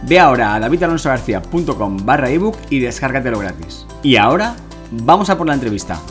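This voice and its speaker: Male podcast host, medium pitch, music. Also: very animated, quick pace. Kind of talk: scripted podcast intro and casual interviewing.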